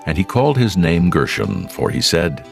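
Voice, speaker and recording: A man narrating dramatized scripture in a solemn, measured manner. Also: deep voice, sing-song, gravelly, soft background music.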